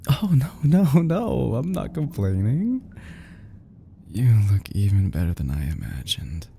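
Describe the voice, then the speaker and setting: A man playing an obsessive, lovesick young kidnapper in an intimate ASMR roleplay, speaking haltingly with stammers, breathy whispers and sighs. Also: lowish voice, huge pitch swings, warm tone, a little hiss or hum, heavily acted. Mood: tender, shy.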